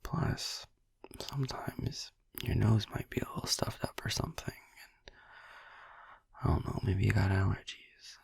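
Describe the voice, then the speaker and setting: Man playing a slow, whispering, gravelly vampire boyfriend. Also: fairly low pitch, no echo, exaggerated voice. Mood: musing, soft. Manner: soft, halting, soothing, casual. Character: gentle, caring vampire boyfriend soothing listener to sleep. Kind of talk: ASMR sleep-aid roleplay. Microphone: close, dry microphone.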